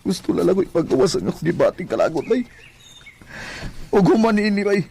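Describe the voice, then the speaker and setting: A man's voice: sits high, sing-song, fairly quick, gravelly, dark tone, breathy edge, exaggerated voice. A man with a Cebuano accent playing a jilted, hot-tempered young lover pleading melodramatically in a radio drama. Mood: bitter, resolute. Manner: melodramatic, emphatic. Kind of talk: Bisaya radio drama acting.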